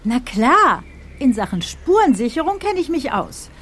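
An actress playing a brisk, self-assured young female client reporting a puzzling break-in in a German audio drama. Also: sits high, very animated, a little hiss or hum. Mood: matter-of-fact, insistent.

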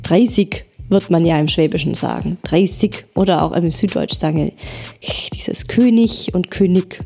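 A woman's voice: fairly low pitch, a little slow, vocal fry, dark tone, breathy edge, soft background music. A woman co-hosting a casual podcast who reads listener messages aloud and adds chatty asides.

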